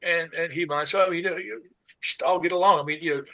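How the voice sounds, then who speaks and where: A man, a podcast guest talking casually and haltingly, with many "uh"s and "you know"s. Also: a little high, steady tone, bright, forceful tone, rough recording. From Southern US. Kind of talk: casual conversation.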